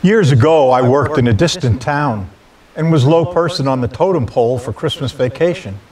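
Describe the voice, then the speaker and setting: A man's voice: medium pitch, animated, moderate pace, darkish tone, far from the mic. A man reading a scripted personal story aloud as a storyteller.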